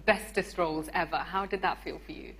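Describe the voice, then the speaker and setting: A woman's voice: lowish voice, steady tone, brisk, a bit bright, slight background noise, rough recording. A woman hosting a live on-stage interview, putting questions to her guests. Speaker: radio presenter, event host. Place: radio theatre stage.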